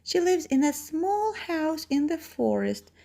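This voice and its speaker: A woman's voice: high-pitched, very animated, measured pace, vocal fry, dark tone, pitched above the performer's own voice, heavily acted. A woman voicing an innocent, polite little girl in a children's story with a sweet, sing-song delivery. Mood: cheerful, trusting.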